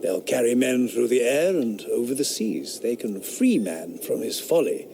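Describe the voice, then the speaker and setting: A scornful Georgian philosopher. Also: male voice, mid-range voice, big pitch swings, normal speed, dark tone, slight background noise. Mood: sardonic. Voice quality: scornful, declamatory. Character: sardonic 18th-century philosopher, scornful, rationalist man. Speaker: actor in radio drama. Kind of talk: period radio drama acting.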